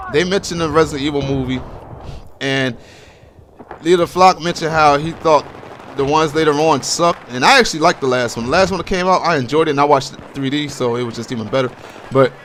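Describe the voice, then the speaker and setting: A man's voice: fairly high pitch, a bit bright. An American man from Baltimore giving casual, rambling game commentary in an informal, slangy and opinionated way. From Baltimore, USA. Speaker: gaming commentator. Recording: home voice-over with clear background noise, gunfire. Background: video game gunfire and explosions.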